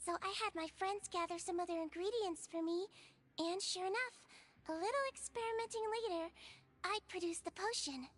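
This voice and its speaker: A woman voicing a small, clever, composed girl healer in a video game, speaking in a measured, gently firm, explanatory way. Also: extremely high pitch. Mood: calm, clever. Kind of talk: scripted video game dialogue.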